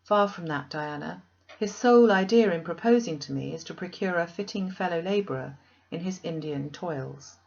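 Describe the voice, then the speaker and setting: A woman reader playing an earnest, resolute young woman in a classic novel, measured and formal. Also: on the low side, vocal fry, darkish tone, dull audio. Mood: subdued, resolute.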